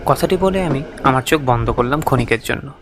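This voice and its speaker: A man narrating a scripted horror story in the first person, with a suspenseful, expressive, dramatic delivery. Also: medium pitch, fairly quick, very noisy.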